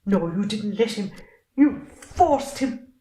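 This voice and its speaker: A woman's voice: medium pitch, expressive, slow, warm tone. A woman acting an appalled, accusing wife in an amateur drama, with emphatic delivery. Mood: bitter, accusing. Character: appalled, accusing Irish wife confronting a man. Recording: roomy echo, distant from microphone.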